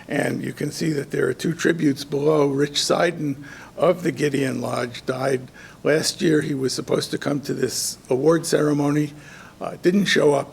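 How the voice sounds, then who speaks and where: A hoarse man organizing a contest gives halting welcome remarks at a ceremony, with many ums and restarts. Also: mid-range voice, a little hiss or hum. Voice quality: gravelly. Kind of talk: informal ceremony speech. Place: community event hall.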